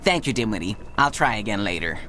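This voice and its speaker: A woman's voice: extremely low pitch, very animated, quick pace, a bit bright, slight background noise, exaggerated voice. A woman voicing an earnest, brainy, self-important young male Smurf in a cartoon video game, precise and inquisitive.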